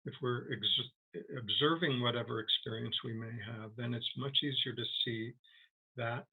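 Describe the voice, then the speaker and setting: A man leading a guided meditation as a teacher, speaking gently and haltingly with long, unhurried pauses. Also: medium pitch, steady tone, slightly rough, low-quality recording.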